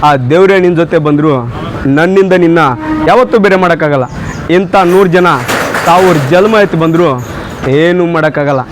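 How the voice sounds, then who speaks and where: A man's voice: high-pitched, a little fast, pauses often, background noise, lightly acted. A man acting an obsessive, menacing lovestruck tough-guy hero, reciting emphatic film dialogue in short punchy phrases. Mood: defiant, insistent.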